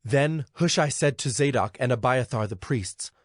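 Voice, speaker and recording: A man narrating scripture from a script in a measured, solemn manner. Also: mid-range voice, no room sound, studio quality. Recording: music bed under narration.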